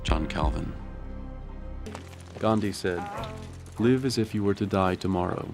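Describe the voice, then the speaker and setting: A male actor playing a grave criminal profiler, reciting quotations in a measured, gravelly voice-over for a TV drama. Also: low-pitched. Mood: solemn. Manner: measured, solemn. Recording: music under the voice.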